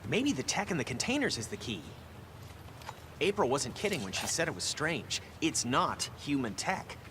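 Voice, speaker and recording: A man's voice: on the high side, sing-song, moderate pace, background noise. A man voicing a brainy, earnest young mutant turtle who explains technical things eagerly in a video game. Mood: earnest, explaining.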